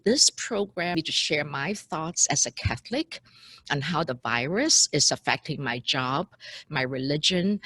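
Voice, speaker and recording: A woman in her seventies speaks in a low, husky voice, recorded dry and close. She talks earnestly, setting out her points one by one and asking questions as she goes. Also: fairly bright tone, no echo, rough recording. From Hong Kong. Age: 70s. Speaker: immigration lawyer. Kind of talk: recorded talk.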